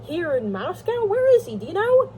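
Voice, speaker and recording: A woman's voice: high voice, sing-song, a little fast, slight background noise, voice pushed up. A woman reading an audiobook, voicing an earnest, guilt-ridden younger brother in an emotive, hesitant way. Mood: horrified. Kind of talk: amateur audiobook character reading.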